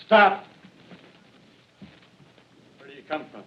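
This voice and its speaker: Dull old radio sound. Male actor as territorial survivor, gruff, challenging, clipped. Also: takes it slowly, close to an everyday voice. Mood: suspicious, territorial. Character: hostile, suspicious knife-armed male survivor guarding his territory. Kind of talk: 1930s radio acting. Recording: muffled vintage 1930s radio broadcast.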